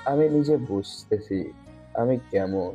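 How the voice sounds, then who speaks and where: A man voicing a dying elderly prime minister, speaking gravely and with resignation. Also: on the low side, unhurried, warm tone, faint music behind, lightly acted. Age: older. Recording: dull muffled lo-fi audio.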